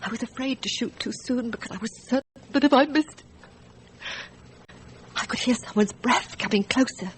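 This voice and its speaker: A woman playing a frightened, distressed wife in a radio drama, recounting her story in a halting, hesitant way. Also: fairly high pitch, slight background noise, close to an everyday voice, poor audio. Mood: haunted, fearful. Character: frightened, distressed wife testifying about a night shooting.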